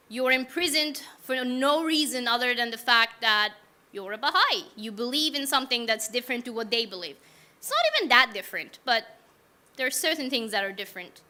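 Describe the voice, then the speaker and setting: A woman's voice: a little high, bright, forceful tone. A young woman from Iran telling her personal story to a live audience in a frank, wry, casual way, asking the room questions.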